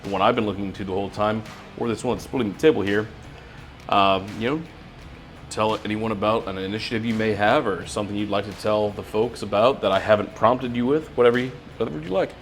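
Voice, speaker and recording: A man's voice: on the low side, steady tone, fast, faint music behind. A man hosting an interview show in a casual, conversational style.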